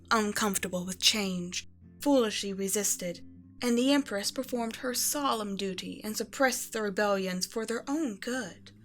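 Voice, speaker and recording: A woman playing a regal evil empress and doting mother in an ASMR bedtime roleplay, speaking in a measured, tender, condescending way to a child. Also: medium pitch, lightly acted. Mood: smug, self-righteous. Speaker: audio roleplay performer. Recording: music behind the voice.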